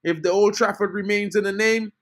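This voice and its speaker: A man's voice: high voice, steady tone, fairly quick. A man from Trinidad, hosting a casual live-stream football fan update, chatty and greeting his viewers. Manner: chatty, greets chat viewers, says bro a lot. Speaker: football fan channel host.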